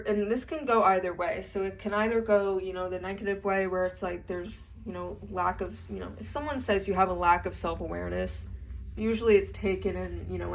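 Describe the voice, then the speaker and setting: Chatty woman with a gravelly edge. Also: fairly low pitch. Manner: chatty, lots of fillers and "okay?" check-ins. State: congested from allergies. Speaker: tarot reader. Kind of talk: casual tarot reading to camera.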